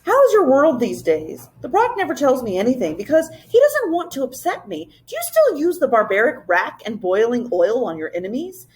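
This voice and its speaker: A woman's voice: high voice, very animated, moderate pace, hardly any pauses, a little mellow, a little hiss or hum, pitched above the performer's own voice. A woman voicing a regal, chatty old moose-like creature king with a lisp for a children's storybook. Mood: curious, chatty. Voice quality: lisping. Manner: nonchalant, chatty, slightly haughty. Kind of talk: children's storybook read-aloud.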